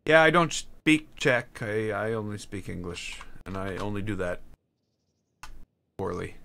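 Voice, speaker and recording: A man streaming a game, chatting casually and haltingly, answering chat while he builds. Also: on the low side, expressive, creaky, pushed and bright. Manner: rambling, halting, answers chat between tasks.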